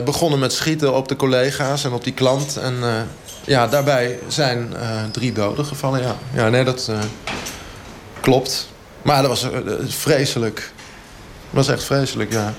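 A man playing a blunt, oblivious fitness coach who explains things haltingly and matter-of-factly in a mock radio interview. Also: medium pitch, a little hiss or hum, lightly acted. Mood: defensive, halting. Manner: halting, hesitant, matter-of-fact.

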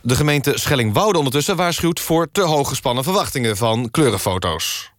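A man's voice: mid-range voice, lively intonation, a little fast, natural voice. A man playing an earnest, patient radio magazine presenter, delivering mock-serious news and interviews.

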